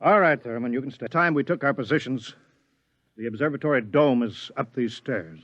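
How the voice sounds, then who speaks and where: A man's voice: mid-range voice, moderate pace, fairly bright tone, no room sound, muffled recording, natural voice. A man playing a stern observatory director. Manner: curt, authoritative. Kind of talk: 1950s radio drama acting.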